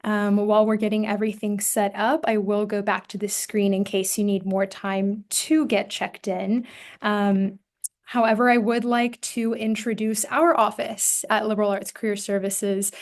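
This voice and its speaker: Even-toned woman career coach. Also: medium pitch, moderate pace. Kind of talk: event welcome and announcements. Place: home or office on a video call. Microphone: video call.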